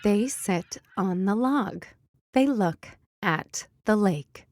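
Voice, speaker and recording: A woman hosting a children's read-aloud, narrating and teaching early reading in simple, deliberate wording. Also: medium pitch, expressive, darkish tone. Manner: simple, deliberate wording, instructing young readers. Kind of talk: slow phonics storybook read-aloud for young children.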